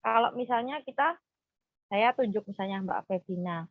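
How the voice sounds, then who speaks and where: A woman's voice: a little high, normal speed. Young woman, group facilitator, hesitant explanations, muffled sound, no room echo. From Purworejo, Central Java, Indonesia. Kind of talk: leading an informal group session.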